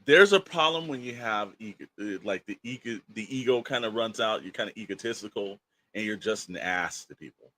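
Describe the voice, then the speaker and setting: A man's voice: on the low side, expressive, fairly quick, sharp tone. Emphatic man talking casually on a podcast. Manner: emphatic, rambling, colloquial. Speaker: podcast co-host. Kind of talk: opinionated casual podcast talk.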